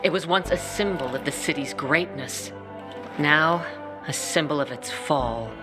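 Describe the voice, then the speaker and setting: An actor playing a tough peacekeeper commander who speaks in a gruff, curt way. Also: mid-range voice, unhurried, over music, close to an everyday voice.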